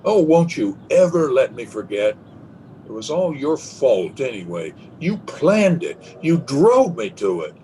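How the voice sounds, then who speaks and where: A man's voice: mid-range voice, sing-song, unhurried, mellow, slight background noise, rough recording. A man playing a nervous, guilt-ridden husband, tremulous and brooding, in 1930s radio melodrama style. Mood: anguished, pleading. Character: nervous, guilt-ridden husband who killed his wife.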